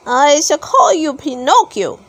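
A kindly, fatherly old toy maker from a children's tale, put on by a woman in her high voice. He speaks slowly and deliberately, with delighted, drawn-out exclamations and a lively melody. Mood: fond.

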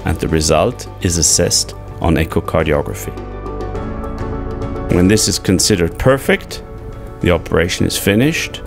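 A middle-aged man giving a measured, scripted medical explanation as a surgeon and narrator. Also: low voice, creaky.

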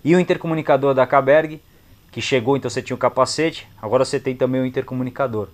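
A man's voice: medium pitch, fairly even intonation, racing. A young man with a Brazilian accent giving a casual, slangy product review in short clipped phrases. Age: young adult. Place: indoors in a motorcycle gear shop. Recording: clear background noise, shop ambience.

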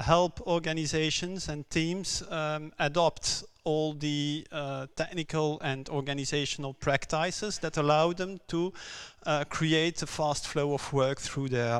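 A man from Belgium, for whom English is not his first language, giving a conference talk on a stage with many pauses, "um"s and repeated words. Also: on the high side, fairly bright tone, clean tone. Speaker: conference speaker.

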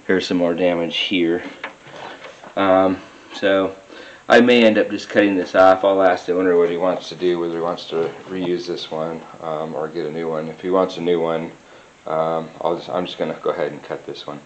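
A man's voice: low-pitched, level tone, muffled recording. A man working as a mechanic, talking through an engine job step by step in a garage workshop, with lots of filler "uh"s. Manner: unhurried, many filler "uh"s, explains step by step. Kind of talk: garage how-to narration. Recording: echoey garage with background noise.

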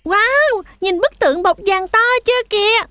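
A woman voicing a patient, cheerful mother goat in a children's cartoon, explaining things in a motherly, gently teasing way. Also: extremely high pitch, lively intonation, moderate pace, faint music behind. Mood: excited. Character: patient, cheerful mother goat guiding her kids on tours.

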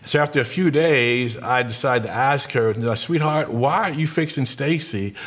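Middle-aged man, pastor, earnest sermon, mid-pitched voice, small church office. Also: fairly bright tone. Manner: earnest, speeds up and slows down for emphasis, gestures while talking.

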